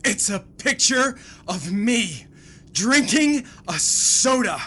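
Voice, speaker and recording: High, flustered woman playing a male suspect. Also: animated, slow, a bit bright. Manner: melodramatic, pleading. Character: indignant, flustered male picnic suspect under interrogation. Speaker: comedy podcast sketch performer. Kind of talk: theatrical sketch acting.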